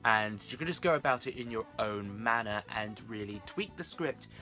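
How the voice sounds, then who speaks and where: A man's voice: lowish voice, animated, normal speed, pushed and bright, faint music behind. A British man chatting casually to camera and giving beginner advice in a rambling, wry, self-deprecating way. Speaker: amateur audio drama creator and vlogger.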